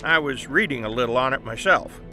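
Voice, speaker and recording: An actor playing a brisk, persuasive newspaper boss in an audio drama, confident and explanatory. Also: male voice, medium pitch, lively intonation, over music, natural voice. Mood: brisk enthusiasm. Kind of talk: family audio drama acting.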